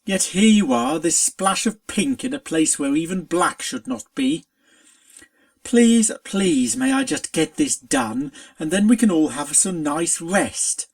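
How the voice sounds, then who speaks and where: Storybook spirit pony, played by a narrator, world-weary and pleading, lively swings in pitch, creaky edge. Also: unhurried. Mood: exasperated, pleading. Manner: exasperated, pleading. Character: weary, put-upon spirit pony of oblivion.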